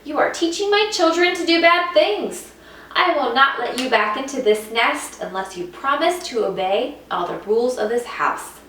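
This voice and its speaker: A woman's voice: high-pitched, animated, normal speed, a bit bright, voice pushed up. A woman voicing a strict, protective mother bird from a picture book, commanding and exclaiming. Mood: stern. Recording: echoey room, distant mic, faint noise.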